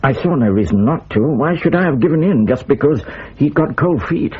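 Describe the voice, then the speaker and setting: Embattled British officer in radio drama: defensive, terse, husky and lowish, slightly dull sound. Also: male voice, expressive, fairly quick. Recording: muffled radio drama recording.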